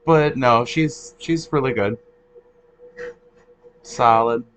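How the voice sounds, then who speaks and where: A man's voice: medium pitch, slow pace, a bit bright, slight background noise, rough recording. A man hosting a casual reaction chat, talking in a rambling way with restarts. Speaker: host.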